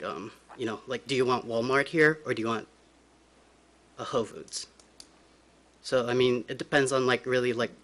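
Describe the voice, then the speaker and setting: A nervous young man giving informal, halting public comment at a hearing. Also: mid-range voice, a bit bright. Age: young adult. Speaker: business applicant speaking at a public hearing. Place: large council chamber, indoors. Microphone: podium microphone.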